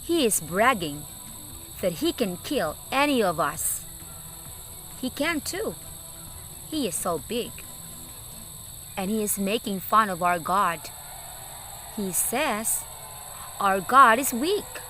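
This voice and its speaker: A woman's voice: medium pitch, animated, slow, long pauses, sharp tone, slight background noise. A woman voicing a frightened, awed soldier in a children's story, speaking with alarmed emphasis. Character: frightened, awed soldier brothers warning of a giant.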